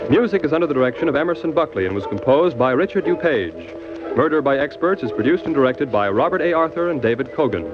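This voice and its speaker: A man's voice: a little high, expressive, fast. An American man working as a 1940s radio announcer, formally reading a scripted announcement. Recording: muffled old radio, loud music behind.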